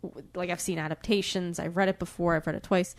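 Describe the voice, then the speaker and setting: A woman's voice: lowish voice, fairly even intonation, fast. A young woman co-hosting a podcast in casual, giggly banter, talking in a chatty and emphatic way. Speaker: podcast co-host. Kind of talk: casual book-chat banter.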